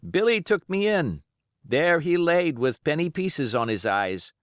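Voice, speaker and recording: A man voicing a grumbling, superstitious old pirate in rough sailor dialect for an audiobook. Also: a little high, big pitch swings, a little slow, no room sound, clean studio sound, pitched above the performer's own voice. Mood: grim. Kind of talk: audiobook character voice.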